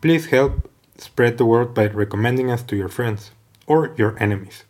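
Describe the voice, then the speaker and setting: A man's voice: medium pitch, moderate pace, darkish tone. A man hosting his own podcast, reading a scripted introduction with an upbeat, promotional delivery. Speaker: podcast host. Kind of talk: scripted podcast intro, then casual interview. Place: office meeting room.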